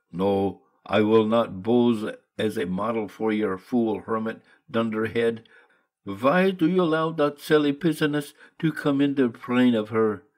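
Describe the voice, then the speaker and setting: A man doing a gruff old painter. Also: on the low side, close to an everyday voice. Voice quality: blustery, broken English. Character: fierce little old male painter, gruff.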